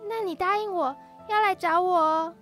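An earnest young girl played by a woman in an animated fantasy audio drama. Her voice is very high and sincere, with determination in it, over clearly audible music. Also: normal speed. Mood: grateful, wistful.

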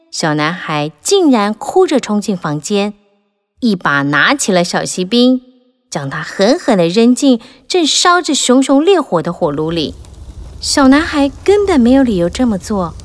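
A woman narrating a children's fairy tale in an animated, expressive way, rising and falling with the drama. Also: fairly high pitch, normal speed. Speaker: storyteller.

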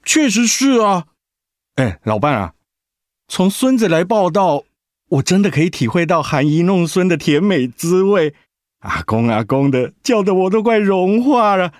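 A man's voice: sits high, sing-song, measured pace, dry recording, clean studio sound. A man playing a doting, practical retired husband and grandfather with a Taiwanese Mandarin accent in a radio drama. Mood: playful, content.

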